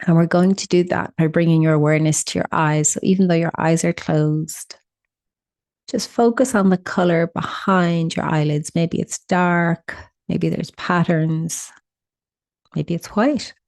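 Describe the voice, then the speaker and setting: A woman with a soft-edged, mellow voice sitting a little low in pitch guides a meditation. She gives soothing, simple instructions and leaves deliberate pauses between them. The recording is dry and close. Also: steady tone, dry recording.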